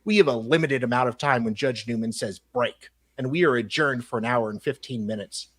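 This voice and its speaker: A man's voice: mid-range voice, brisk, fairly bright tone, husky. A man on a live panel, giving dramatic readings of emails.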